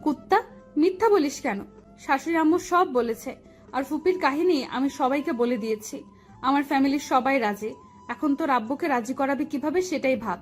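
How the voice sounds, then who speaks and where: A woman playing a feisty, sharp-tongued young fiancee who scolds in an audio story. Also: high-pitched, normal speed, close to an everyday voice. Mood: scolding. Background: background music.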